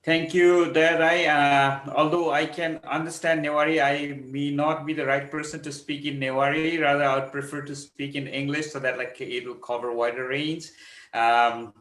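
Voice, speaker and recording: A middle-aged man from Nepal, giving an academic conference talk over a video call in a measured, explanatory way. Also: fairly high pitch, little pitch movement, fairly bright tone. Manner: measured, explanatory, pauses often to find words. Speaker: conference presenter, architecture researcher. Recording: roomy echoing sound.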